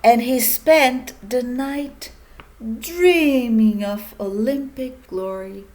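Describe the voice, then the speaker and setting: A woman teacher reading a children's story aloud in a deliberate manner, speaking directly to young listeners. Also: a little high, darkish tone. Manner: deliberate, addressing children with questions.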